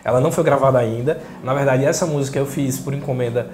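A man in his thirties with a Pernambuco accent from northeastern Brazil, talking casually in a soft voice as an interview guest. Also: medium pitch, quick pace, slight background noise. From Caruaru, northeastern Brazil. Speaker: interview guest, singer-songwriter and journalist. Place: small indoor room. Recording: echoey small room.

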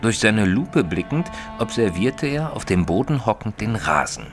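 An actor playing a detective's earnest, puzzled companion, narrating in the first person in a German audio drama in a measured, literary manner. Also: male voice, lowish voice, sing-song, soft background music. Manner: measured, formal, literary storytelling.

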